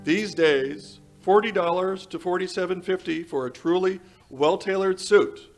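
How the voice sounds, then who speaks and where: A man plays an old-time radio announcer from the 1940s. His voice sits a little high, with polished, emphatic phrasing and the eager push of a sponsor's pitchman. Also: creaky. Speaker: announcer and host.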